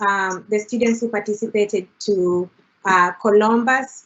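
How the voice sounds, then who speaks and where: Woman, fillers, muffled call audio. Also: mid-range voice. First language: Swahili. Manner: many fillers, leans on "you know, like". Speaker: international student panelist. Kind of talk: panel discussion answer.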